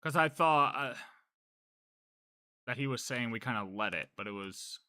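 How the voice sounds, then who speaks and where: A man giving casual live game commentary, thinking aloud in an animated, cocky way. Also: mid-range voice, a little slow, clean tone, no room sound, studio quality. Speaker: game streamer.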